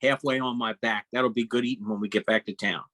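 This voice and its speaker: A man's voice: medium pitch, steady tone, quick pace, fairly bright tone, no echo. A man with a mid-range voice talks casually as a player in a tabletop role-playing game. The sound is close and dry, with no room around it.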